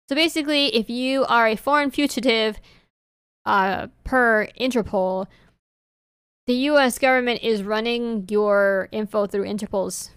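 A woman co-hosting a podcast in casual chat, English not her first language, talking in an animated, rambling way with many fillers. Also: medium pitch, smooth, no room sound. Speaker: podcast co-host.

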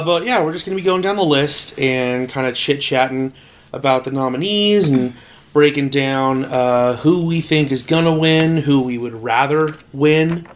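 Loud guy rambling on a home podcast, cusses a lot, tons of uhs and ums. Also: medium pitch. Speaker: podcast guest. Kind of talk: casual chat. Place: home apartment. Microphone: shared desk mic.

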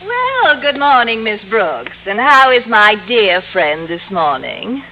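An actress playing a smug rival schoolteacher in an old radio comedy, with affected sugary politeness and drawn-out barbs. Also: female voice, fairly high pitch, big pitch swings, full character voice. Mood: sugary, catty. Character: catty, syrupy rival schoolteacher woman, smug and condescending. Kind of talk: 1940s-50s radio sitcom acting. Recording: dull, hissy vintage radio recording.

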